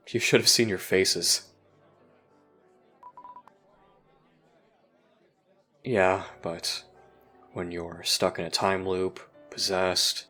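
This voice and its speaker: Man acting a low, jaded, sardonic youth. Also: pushed and bright, heavily acted. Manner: sardonic, conspiratorial, casually profane. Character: jaded human youth trapped in a time loop, sardonic, possessed. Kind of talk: audio roleplay acting.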